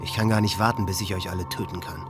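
An actor playing a hateful, volatile teenage high-school boy with a contemptuous manner, in a radio documentary drama. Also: fairly even intonation, fast, close to an everyday voice. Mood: cold, menacing. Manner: ranting, rhetorical, contemptuous. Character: hateful, volatile teenage male high-school shooter reading his writings. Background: music.

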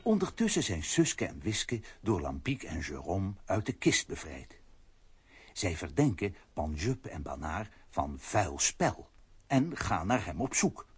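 A man's voice: deep voice, big pitch swings, a little slow, breathy edge, full character voice. A man narrating a children's radio play as a storyteller. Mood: storytelling.

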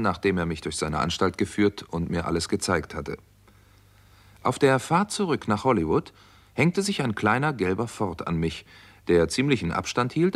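A low-pitched, earnest doctor who tells his own case in a 1960s radio crime play. He speaks in a measured, matter-of-fact way, like a sober investigator. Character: earnest American doctor and amateur sleuth, narrating his case. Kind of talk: 1960s German radio crime drama acting.

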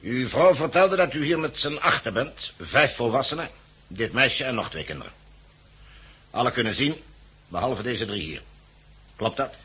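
A man's voice: mid-range voice, lively intonation, normal speed, pauses often, bright, forceful tone, slightly rough, full character voice. A man acting in a 1960s Dutch radio drama as a cold, officious committee envoy, formal and pompous, with a Netherlands accent. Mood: businesslike. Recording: muffled-edged vintage 1969 radio recording.

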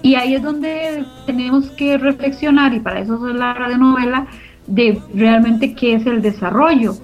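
A woman's voice: on the high side, moderate pace. A woman explaining things conversationally as an interview guest. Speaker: interview guest, radio drama producer. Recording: dull lo-fi audio, music behind. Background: clear background music.

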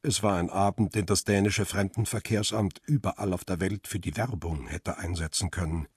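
World-weary paparazzo, middle-aged, very husky, close dry sound, understated crime radio drama. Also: male voice, sits low, fairly even intonation, moderate pace, no room sound. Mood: reflective. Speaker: narrator and lead actor in a crime radio play. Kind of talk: radio drama, first-person narration and dialogue.

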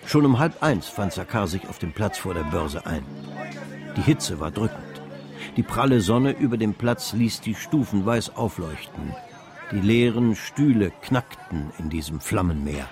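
A man's voice: on the low side, expressive, unhurried. A man reading scripted literary narration as the narrator of a radio drama.

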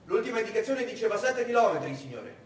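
A deferential German soldier at the wheel, played by a male stage performer, answering his superior in clipped, dutiful phrases. The pitch is high and the sound is echoey and distant. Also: big pitch swings, fast talker. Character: obedient, deferential young German soldier driver. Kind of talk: live storytelling theatre monologue. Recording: echoey room, distant mic.

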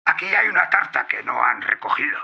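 An actor playing a curt, impatient baker on the phone in a radio drama, insistent and accusing. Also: male voice, on the high side, big pitch swings, fairly quick, creaky, bright, forceful tone, slightly rough, full character voice. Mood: curt insistence. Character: curt, impatient male baker phoning about an uncollected cake. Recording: dull, lo-fi telephone-style sound.

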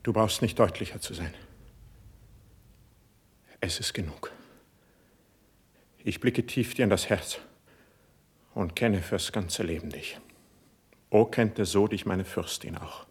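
A man's voice: on the low side, slow, pauses often, slightly rough, exaggerated voice. An actor playing an ardent, proud and sensitive young male court poet in a classical verse radio play, speaking in a fervent, lofty way. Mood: wounded, solemn. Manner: fervent, lofty, declamatory delivery.

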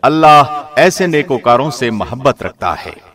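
A man's voice: medium pitch, very animated, a bit bright. A man reading a scripture translation aloud in a formal, scripted radio narrator's style. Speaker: translation reader, radio host.

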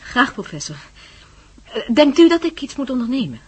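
Radio drama wife: courteous, anxious woman, fairly high voice, clear hum and noise behind. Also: very animated, normal speed, darkish tone. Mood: worried. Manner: courteous, slightly flustered. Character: polite, anxious wife awaiting her husband. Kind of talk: Dutch radio play acting. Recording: noisy old radio phone scene.

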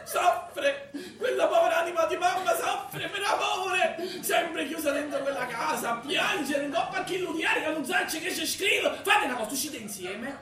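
A woman's voice: very high voice, moderate pace, fairly bright tone. A woman playing a pushy, effusive Neapolitan mother in a comic stage play, insistent and gushing, barely letting anyone speak. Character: pushy, loud, theatrical middle-aged Neapolitan mother matchmaking her daughter. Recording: echoey theatre from far off, audience noise.

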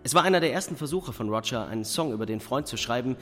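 Guy playing a band mate talking fast, radio drama, echoey with music behind. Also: medium pitch, lively intonation, fairly bright tone, close to an everyday voice. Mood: explaining. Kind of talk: German radio play acting. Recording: long reverb, music behind.

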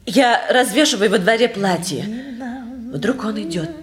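Timid, anxious young wife, played by an actress. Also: female voice, medium pitch, expressive, measured pace, a crowd in the background, lightly acted. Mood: nervous. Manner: meek, anxious. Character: timid, frightened wife of about thirty. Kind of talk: 1950s Soviet stage drama acting. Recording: 1950s theatre recording with audience noise.

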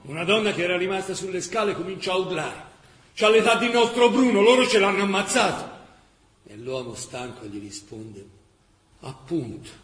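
Impassioned, high-voiced male wartime chronicler. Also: big pitch swings, moderate pace, fairly bright tone. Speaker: radio drama actor narrator. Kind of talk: dramatic scripted historical narration. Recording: roomy echo, faint background noise.

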